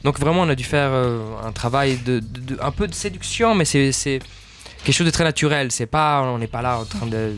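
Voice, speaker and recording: A man from Portugal, a film director being interviewed, talking fast and searching for words as he explains at length. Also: medium pitch, faint music behind. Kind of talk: interview answers.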